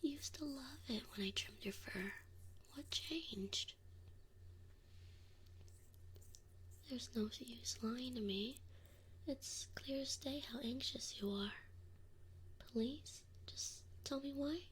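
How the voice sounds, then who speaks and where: A woman playing a doting, gently teasing wolf mother in an ASMR roleplay, speaking softly and often in a whisper. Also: sits high, a little hiss or hum. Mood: soft, coaxing. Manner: soft, slow, often whispered. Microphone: binaural mic.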